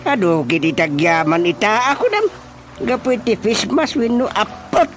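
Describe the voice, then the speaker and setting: A man's voice: high-pitched, expressive, normal speed, fairly bright tone, faint music behind. A man with an Ilocano accent playing a cautious villager in a Filipino radio drama. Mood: concerned.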